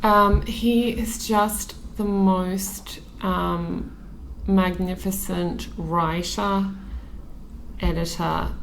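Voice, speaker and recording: A woman's voice: on the low side, vocal fry, slight background noise, rough recording. A woman in her thirties from Australia, a magazine editor talking as a podcast guest, earnest and thoughtful, with frequent ums and restarts. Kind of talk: relaxed conversational interview.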